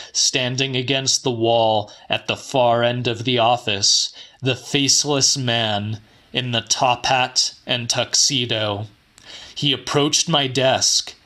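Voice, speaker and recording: Slow, bright-voiced male audiobook narrator. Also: fairly low pitch. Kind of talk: dramatic scripted narration of weird fiction.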